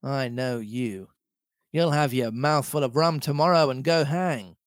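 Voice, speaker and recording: A man's voice: medium pitch, measured pace, no echo, clean studio sound, close to an everyday voice. Man acting a scheming, domineering pirate sea cook, Long John Silver. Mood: scornful. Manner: rustic old seafaring dialect, emphatic and speechifying.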